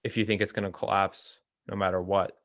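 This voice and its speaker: A young man co-hosting a casual discussion, talking in a hesitant, halting way. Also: sits low, flat delivery, a bit bright, no room sound, clean studio sound. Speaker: co-host of a reading discussion.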